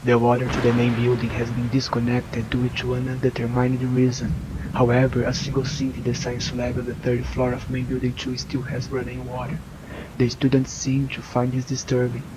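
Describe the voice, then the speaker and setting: Flat-voiced horror game guy played by a man, noisy backdrop. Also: medium pitch, normal speed, close to an everyday voice. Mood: flat reading. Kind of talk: video game voice acting, reading letters and notes aloud.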